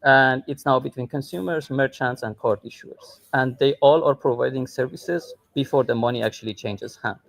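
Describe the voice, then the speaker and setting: A man's voice: medium pitch, normal speed, vocal fry, hardly any pauses, no room sound. A man from Iran speaking conversationally as a tech co-founder and guest on a livestream call. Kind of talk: conversational self-introduction on a livestream. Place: airport, remote call.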